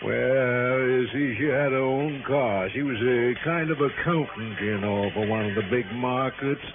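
A man's voice: medium pitch, unhurried, lightly acted. A noisy, narrow old radio recording carries a man playing a big, lazy police sergeant in his fifties. He speaks in a folksy, hesitant way with a defensive edge, as in a vintage radio drama. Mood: complacent. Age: 50s. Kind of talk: 1960s radio drama acting.

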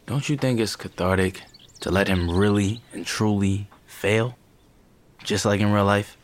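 An actor playing an easygoing writer and husband in a naturalistic audio drama, casual and placating in manner. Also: male voice, lowish voice, steady tone, unhurried, close to an everyday voice. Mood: wry. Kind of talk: naturalistic audio fiction acting.

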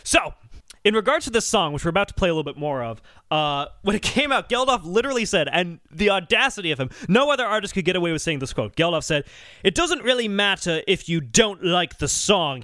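A man hosting a podcast in casual, comedic discussion with animated, exasperated emphasis. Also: high voice, brisk, dry recording, clean studio sound. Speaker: podcast host.

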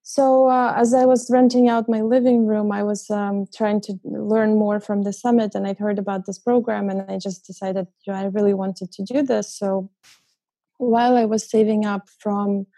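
A young woman from Ukraine, a guest in a casual interview, talking with many ums and uhs and soft laughs. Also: mid-range voice, little pitch movement, moderate pace. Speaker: guest, short-term rental host.

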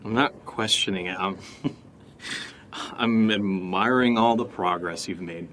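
An actor playing a wary, exasperated and sarcastic male housemate with a husky voice, in naturalistic audio drama dialogue, hesitant and given to swearing. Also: fairly low pitch, a little slow, fairly bright tone, a little hiss or hum. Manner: hesitant, sardonic, profane.

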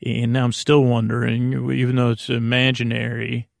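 A man hosting a podcast in a rambling, whimsical bedtime monologue, halting and stammering, trailing off mid-thought with deadpan, absurd asides. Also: medium pitch, creaky, dry recording, studio quality. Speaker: podcast host.

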